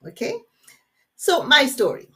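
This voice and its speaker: A woman from Jamaica with a Jamaican accent, leading a live workshop webinar in an energetic, engaging way and putting questions straight to her audience. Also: high-pitched, measured pace. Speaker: workshop facilitator.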